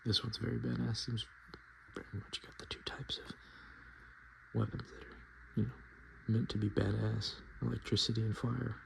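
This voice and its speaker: A man softly speaking and whispering in a casual, murmuring ASMR style, as host showing items to the viewer. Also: on the low side, little pitch movement, normal speed, gravelly, rough recording. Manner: hushed, casual murmured asides. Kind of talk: ASMR, softspoken and whispered card show-and-tell.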